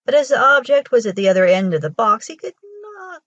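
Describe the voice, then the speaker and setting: A woman with a husky voice reading a children's folktale aloud as a storyteller. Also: a little high, sing-song, fairly quick, a bit bright, dry recording, dull audio. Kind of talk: scripted storybook narration for children.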